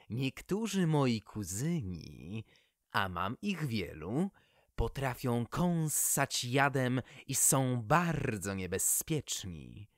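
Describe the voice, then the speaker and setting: A narrator voicing a small, gentle male grass snake with polite, drawn-out, careful speech in a children's story. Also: mid-range voice, no echo, clean studio sound, heavily acted.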